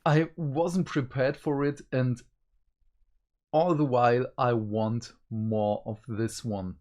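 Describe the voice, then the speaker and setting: A man's voice: mid-range voice, fairly even intonation, slow, darkish tone. A man co-hosting a review show, talking casually with his partner.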